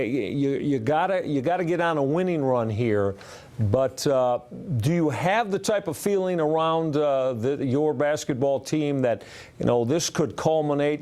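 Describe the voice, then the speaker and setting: An American man hosting a sports interview, asking long, winding questions in a halting, conversational way. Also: fairly high pitch, darkish tone. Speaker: sports show host interviewing a guest.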